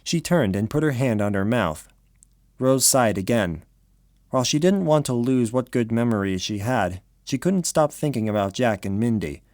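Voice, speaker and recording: A man's voice: mid-range voice, lively intonation, moderate pace, a little mellow, no room sound, clean studio sound. A man reading scripted story narration for an audio drama.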